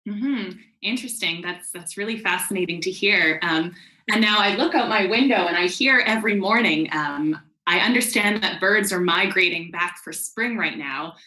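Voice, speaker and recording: A woman's voice: fairly low pitch, moderate pace, sharp tone. A woman, hosting a casual interview for children on a video call. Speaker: host, interviewer. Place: bedroom at home, indoors. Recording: roomy, echoey video call.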